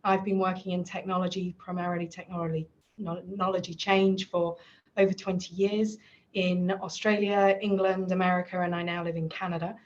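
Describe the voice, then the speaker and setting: A businesslike woman executive speaks in a flat, low-pitched voice. The audio is dull and muffled. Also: brisk. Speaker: technology strategy executive, panelist. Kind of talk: self-introduction on a panel.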